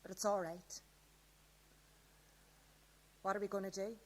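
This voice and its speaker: A woman's voice: mid-range voice, level tone, measured pace, a little hiss or hum, close to an everyday voice. A woman acting a touchy but affectionate teenage girl in a live radio play, earnest and naturalistic. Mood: soft, settled.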